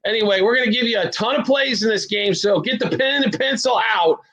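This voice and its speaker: A man's voice: sits high, fairly quick, fairly bright tone. A man from the American Midwest hosting a sports betting show, rambling bluntly through picks with self-deprecating asides. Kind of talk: casual sports betting talk.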